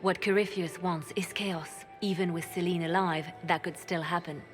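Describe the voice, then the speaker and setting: A calm and ruthless spymaster, voiced by a woman, speaking in a low, measured way that stays soft even when the choices are hard. Faint music plays under the game dialogue. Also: lightly acted. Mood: cool.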